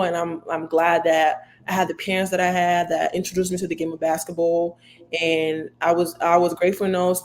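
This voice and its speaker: A woman's voice: lowish voice, a little mellow, a little hiss or hum. A young woman from the Dominican Republic with a Dominican accent, Spanish her first language, answering interview questions earnestly with many "like" fillers. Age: young adult. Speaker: college basketball player.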